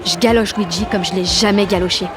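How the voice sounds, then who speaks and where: A woman's voice: medium pitch, steady tone, fairly quick, music in the background, crowd noise, natural voice. A woman playing a cocky, exalted sixteen-year-old girl activist, narrating an audio drama in brash, confiding slang. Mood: euphoric. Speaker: lead actor and narrator.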